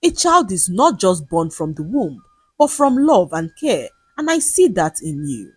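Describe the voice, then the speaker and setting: A woman voicing a kind, sympathetic man, an employer in a folktale, gentle and reassuring. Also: fairly low pitch, sing-song, a little slow, breathy edge, no room sound, soft background music, exaggerated voice. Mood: gentle, admiring.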